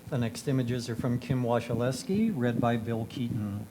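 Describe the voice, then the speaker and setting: A man's voice: medium pitch, steady tone, normal speed, warm tone, slight background noise, rough recording. A man making brief scripted announcements as the presenter of a slideshow. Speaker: presenter introducing photographers and readers.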